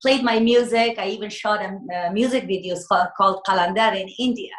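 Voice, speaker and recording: A woman's voice: medium pitch, fairly even intonation, a little fast, roomy sound. A woman singer raised in America answering interview questions in casual talk, hesitant with many fillers and restarts. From United States.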